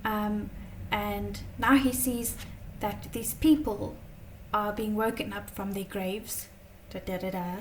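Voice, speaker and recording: A woman teaching a tarot lesson in a chatty, casual way, her voice slightly croaky from a long day of talking, often checking in with "okay?". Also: mid-range voice, steady tone, slow, hardly any pauses, recorded from a distance, a little hiss or hum, low-quality recording. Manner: chatty, many fillers and "okay?" check-ins.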